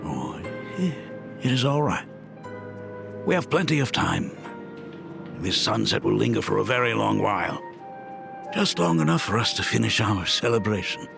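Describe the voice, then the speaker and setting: Gentle, creaky-voiced academy dean. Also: male voice, fairly low pitch, big pitch swings, exaggerated voice. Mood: reassuring. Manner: measured, formal, ceremonious phrasing. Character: gentle, wistful academy dean and regretful father. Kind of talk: dramatic game voice acting. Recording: music under the voice.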